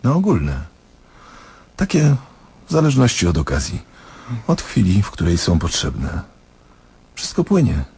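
A cool, knowing doctor in a radio drama. The man's voice is deep and very husky. He sounds detached and wryly dismissive, stating things matter-of-factly. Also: wildly swooping pitch, measured pace, long pauses, dark tone, heavily acted. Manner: detached, wry, matter-of-fact. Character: cool, dismissive, knowing male doctor probing his patient. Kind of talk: radio play acting.

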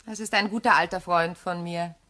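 An actress playing a composed, shrewd widow in a German crime radio play, speaking in a measured, courteous and pointed way. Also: female voice, fairly low pitch, fairly even intonation, bright, forceful tone. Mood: resolute.

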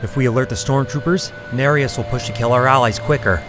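An actor playing a solemn, calm young mystic warrior, earnest and measured, in a fan film drama. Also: male voice, medium pitch, over music, lightly acted. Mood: serious, resolute.

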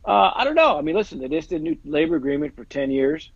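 Man, forties, fast talker, husky voice, rambling with fillers, dry dull video call audio. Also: a little high, big pitch swings, dry recording. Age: 40s. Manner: rambling, repeats phrases, many fillers. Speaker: football agent and guest. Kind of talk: casual interview chat. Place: small room indoors. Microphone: earbuds on a video call.

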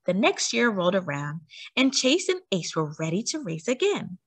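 A woman reading a children's book aloud as a storyteller, with expressive, emphatic delivery for young listeners. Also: medium pitch, normal speed, slightly rough, dry recording.

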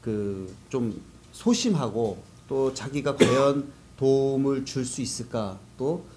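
A man's voice: mid-range voice, lively intonation, slow pace, noisy background. A man giving explanatory, conversational answers as a guest in a business interview. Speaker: guest, business network organizer.

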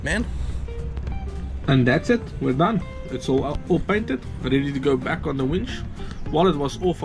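A South African man, around his thirties, narrating a sailing vlog in an informal, laid-back way. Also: mid-range voice. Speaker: sailing vlogger.

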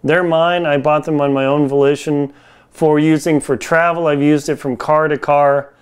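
A man's voice: on the high side, flat delivery, moderate pace, smooth. A man in his forties giving a casual, conversational product review to camera in informal, slangy language, recorded on a lapel mic in a home kitchen. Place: small home kitchen, indoors.

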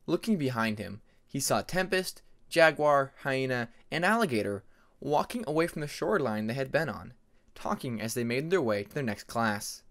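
A man's voice: medium pitch, expressive, normal speed, fairly bright tone. A man narrating a fantasy story as an amateur audiobook reader, in a dramatic scripted style.

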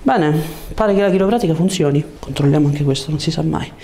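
A man's voice: on the high side, fairly quick, warm tone. A man, a chiropractor coaching a patient in short, reassuring commands on a lapel mic in a small room. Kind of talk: calm hands-on instructions to a patient. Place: small treatment room indoors. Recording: noticeable room background noise.

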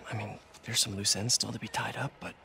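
An actor voicing an earnest, awkward young superhero in a video game, casual and hesitant. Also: male voice, lowish voice, little pitch movement, fast, vocal fry, sharp tone, heavily acted. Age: young adult. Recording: music behind the voice.

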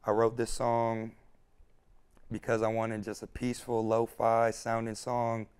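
A man's voice: mid-range voice, monotone, a little slow, a little mellow, clear, resonant tone. A young man in his twenties, a hip-hop artist, talking earnestly and reflectively about his music in an interview, recorded on a lapel mic in a studio set. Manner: earnest, reflective, repeats himself. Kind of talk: candid interview talk. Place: studio set with couch and neon lights.

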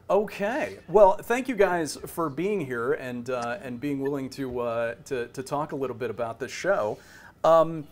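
A middle-aged man conducts a conversational interview as host over a video call. His voice sits a little high for a man. He phrases his questions haltingly, often repeating words as he goes. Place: indoor room at home.

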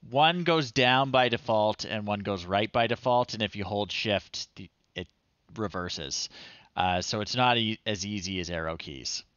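A middle-aged man giving casual, low-key live commentary as he plays retro games, often muttering to himself. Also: a bit bright, dry recording, muffled recording. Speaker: livestream host playing retro games. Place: small room at home, indoors.

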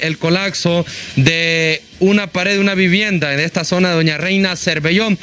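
High-voiced young Honduran male reporter. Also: bright, forceful tone, slight background noise. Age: young adult. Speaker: field reporter. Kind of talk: live news reporting and interviewing. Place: outdoors at a house in a neighborhood. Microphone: handheld reporter microphone.

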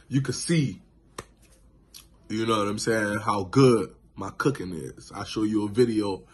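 A man in his thirties recapping a show on his vlog, laid-back and animated, from a small room indoors into a phone camera. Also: on the low side, husky. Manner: laid-back, animated, lots of "you know what I'm saying". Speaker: vlogger. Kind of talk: casual reaction vlog.

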